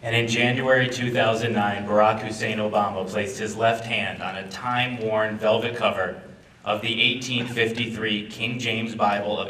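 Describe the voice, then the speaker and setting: A man with a lowish, bright and forceful voice presents a ceremony from a script. He sounds distant in an echoey room with some background noise. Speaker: program presenter. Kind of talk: scripted reading and announcing at a ceremony. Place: large echoey room at a public event. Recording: noisy echoey hall, far from mic.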